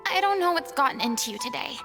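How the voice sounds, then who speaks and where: A guarded young former idol in an anime game. Her high voice has a creaky edge and an earnest, theatrical delivery, with music underneath. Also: expressive, quick pace. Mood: exasperated. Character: Furina, guarded, dramatic young woman, former stage idol. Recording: music behind the voice.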